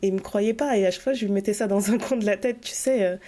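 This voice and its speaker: A woman from northern France, a podcast guest, telling her story in a relaxed, chatty way with many fillers and restarts. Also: medium pitch, quick pace. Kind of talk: casual storytelling chat.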